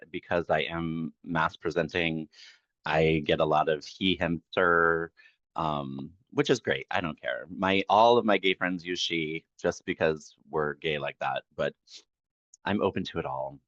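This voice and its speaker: A person in their late forties, a podcast guest talking in a relaxed, candid way and laughing easily. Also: male voice, deep voice, measured pace, no room sound, lo-fi sound. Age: 40s. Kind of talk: casual podcast interview.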